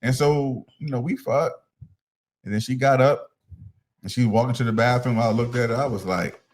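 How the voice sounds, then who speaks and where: A man's voice: medium pitch, normal speed. A man in his thirties co-hosting a podcast, blunt and teasing in casual slangy talk. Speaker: podcast co-host. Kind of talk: casual chat.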